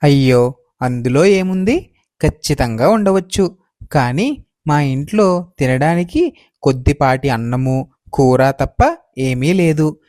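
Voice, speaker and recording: A man playing a humble, kindly poor farmer in a moral story, speaking deferentially and gently. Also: a little high, lively intonation, slow pace, long pauses, no room sound, studio quality. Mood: welcoming. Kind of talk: acted moral story dialogue.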